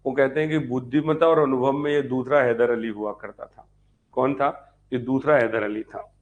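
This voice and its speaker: A man's voice: mid-range voice, fairly even intonation, normal speed, highs cut off. A man teaching an online class, repeating points, quizzing his students and chatting with them. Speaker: teacher of an online history exam-prep class. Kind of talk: live lecture with chatty asides to students.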